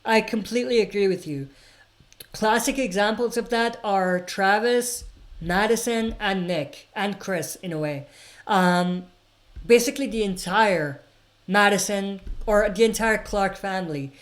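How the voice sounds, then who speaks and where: A woman hosting a live chat stream, talking loosely and with conviction. She reads out viewer comments, then answers them at length, with frequent fillers and restarts. Her voice sits at an ordinary middle pitch. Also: unhurried. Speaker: livestream host answering chat questions.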